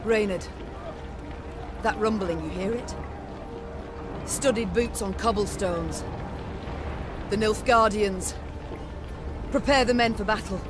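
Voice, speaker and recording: A woman's voice: medium pitch, takes it slowly, long pauses, natural voice. A proud, blunt queen who leads soldiers in a fantasy game, played by a woman. Her mid-range voice is stern and direct, used to command. Music plays behind the dramatic delivery. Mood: grave, commanding. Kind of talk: dramatic video game acting.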